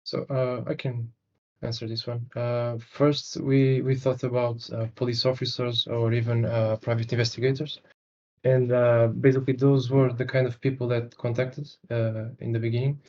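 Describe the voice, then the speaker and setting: A man's voice: medium pitch, level tone, normal speed, a little mellow. A young man from Portugal, a developer, answering interview questions hesitantly, with many fillers, over a video call. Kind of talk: casual interview talk. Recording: muffled video-call audio.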